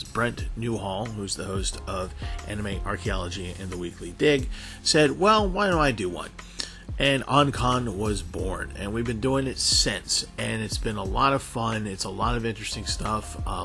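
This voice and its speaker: A man's voice: fairly low pitch, a bit bright. Guy doing a chatty promo vlog, kinda rambly, trips on words, bit of music behind him. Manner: informal, stumbling with repeated words. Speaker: online convention panelist and show co-host.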